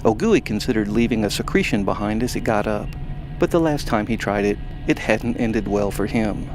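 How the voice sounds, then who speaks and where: A man's voice: fairly low pitch, animated, normal speed, background noise. A man narrating a science fiction audio drama in a dry, wry tone. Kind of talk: scripted story narration. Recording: music bed under narration.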